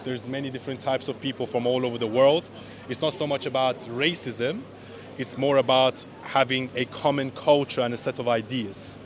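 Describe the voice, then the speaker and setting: A man's voice: mid-range voice, fairly even intonation. Earnest, opinionated man from Iran. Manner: earnest, opinionated, quick restarts. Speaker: rally attendee interviewed. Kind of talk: outdoor interview answers. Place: outdoor street rally.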